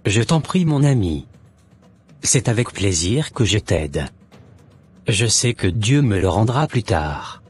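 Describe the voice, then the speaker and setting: A man's voice: lowish voice, big pitch swings, takes it slowly, pauses often, breathy edge, faint music behind, full character voice. A man voicing a scheming would-be false pastor in a French cartoon. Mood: falsely friendly. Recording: noisy ambience behind the voice.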